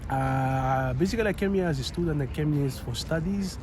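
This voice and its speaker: A young man from Rwanda hosting a casual Q and A vlog outdoors in a city, chatty and relaxed. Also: on the high side, fairly quick. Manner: relaxed, chatty, loose and upbeat. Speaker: YouTube channel host. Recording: outdoor city noise and traffic. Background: street and traffic noise.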